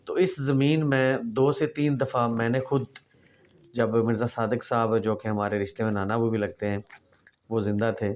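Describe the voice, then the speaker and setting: Calm man narrating a walk. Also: fairly low pitch, muffled recording. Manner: calm, explanatory, reminiscing. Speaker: vlog narrator. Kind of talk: reflective voice-over narration.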